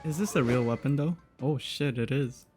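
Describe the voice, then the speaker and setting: A man's voice: medium pitch, normal speed. A young man giving casual, irreverent, sweary commentary on a game he is playing. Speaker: game streamer reacting to a story quest. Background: game music.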